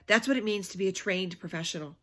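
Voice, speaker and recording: A woman's voice: mid-range voice, fast, lightly acted. A woman voicing a stern, indignant babysitter in a storybook, speaking in a haughty, emphatic, wordy way. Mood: proud, unapologetic.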